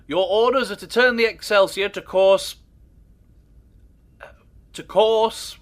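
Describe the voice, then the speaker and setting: An actor playing a dry, sardonic starship commander in an audio drama, giving orders and understated remarks. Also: male voice, high-pitched, takes it slowly. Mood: wry, commanding.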